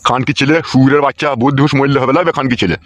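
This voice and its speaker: A man voicing a grumpy, foul-mouthed older father-in-law in a comedy cartoon, scolding gruffly in a rural West Bengal accent. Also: medium pitch, steady tone, rapid-fire, no echo, lo-fi sound, natural voice. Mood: angry, contemptuous.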